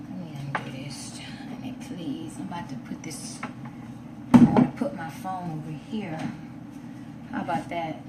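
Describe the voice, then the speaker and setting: A woman's voice: sits low, normal speed. A woman hosting a casual live stream, chatting informally to viewers and half to herself as she sets up. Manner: informal, chatty, talking to herself while setting up. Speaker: livestream host. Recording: roomy, distant mic with loud background noise.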